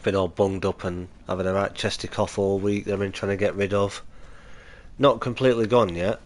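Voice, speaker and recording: A man's voice: fairly even intonation, fairly quick. A man hosting a casual gaming livestream, nasal and stuffy from a cold, chatting easily with viewers. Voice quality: low-key, chatty and easygoing. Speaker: livestream host.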